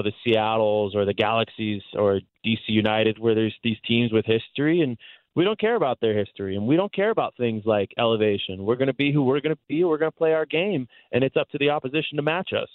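A man's voice: mid-range voice, quick pace, no echo, phone-quality sound. A man speaking as a guest sports announcer, giving articulate, conversational analysis in long explanatory runs. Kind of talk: conversational soccer analysis on a sports talk show.

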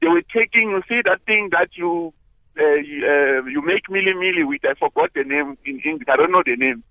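Hesitant male caller, high animated voice, dry phone-line sound. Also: brisk, no echo. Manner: hesitant, searching for words. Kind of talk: call-in radio talk.

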